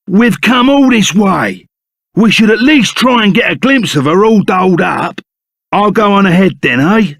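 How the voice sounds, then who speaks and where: An actor playing a rough, loyal, excitable henchman in a video game, with a gravelly voice, a Cockney accent and blunt, chummy, slangy talk. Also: sits high, dry recording, studio quality, heavily acted. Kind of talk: video game character voice acting.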